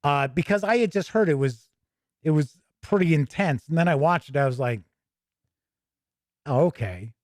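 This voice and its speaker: A man's voice: a little high, lively intonation, moderate pace, pauses often, darkish tone, no room sound, clean studio sound. A man hosting a tabletop gaming show, giving casual, chatty, rambling commentary off the cuff. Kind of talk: casual unscripted commentary while leafing through a book.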